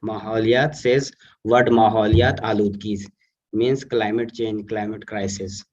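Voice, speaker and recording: Indian man, Urdu native, student on a remote call, halting; low voice, muffled far-off audio. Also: steady tone, darkish tone, a little hiss or hum. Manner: halting, many fillers. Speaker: student participant. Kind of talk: online panel contribution. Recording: distant muffled online audio.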